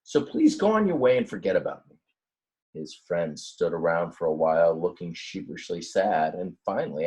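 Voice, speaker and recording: Low-voiced man reading a children's book. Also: a little mellow, rough recording. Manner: explains words simply in plain asides. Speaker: read-aloud storyteller, teacher. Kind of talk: expressive children's book reading with casual explanations.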